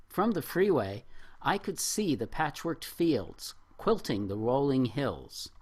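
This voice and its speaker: A middle-aged or older man speaking at a poetry open mic in a reflective, expressive way. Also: medium pitch. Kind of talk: spoken poetry reading with informal remarks to an audience.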